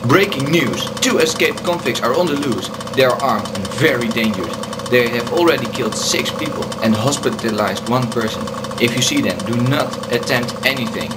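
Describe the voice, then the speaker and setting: Casting: male actor as serious newsreader for radio drama, medium pitch, formal, urgent bulletin delivery. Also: normal speed, heavy background noise, lightly acted. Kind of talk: news bulletin in a radio play.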